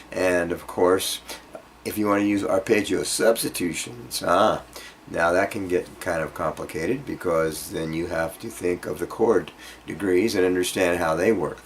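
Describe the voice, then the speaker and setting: Low-voiced man teaching guitar. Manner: rambling, self-deprecating, thinking aloud. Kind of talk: informal music workshop lesson. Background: acoustic guitar being played.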